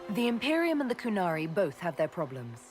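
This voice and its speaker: An actress playing a composed elf mage leader in a video game, questioning and self-assured. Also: female voice, fairly low pitch, sing-song, fairly quick, darkish tone, soft background music. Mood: dry.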